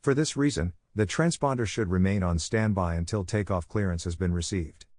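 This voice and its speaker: A man reading a technical handbook aloud as scripted audiobook narration, in a measured, instructional manner. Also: deep voice, darkish tone, no room sound.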